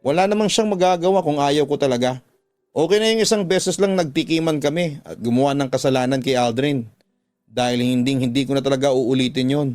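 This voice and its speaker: A man's voice: mid-range voice, fairly quick, darkish tone, no room sound, natural voice. Man voicing a defensive young woman. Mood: defensive, resolute. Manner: pleading, defensive. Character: guilty, defensive young woman confessing an affair. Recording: background music under the voice.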